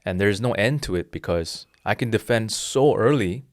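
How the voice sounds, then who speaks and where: A man's voice: on the low side, expressive, normal speed, dry recording, clean studio sound. A man in his thirties, a jiu-jitsu instructor, explaining his philosophy earnestly in a casual interview with frequent restarts. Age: 30s.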